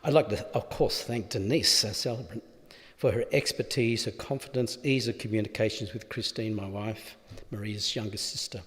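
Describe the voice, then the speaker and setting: A man giving a halting, informal thank-you speech at a funeral. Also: lowish voice, a bit bright. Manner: halting, informal, with fillers and asides. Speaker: family member speaking at a funeral. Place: funeral chapel. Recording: long reverb of a large hall.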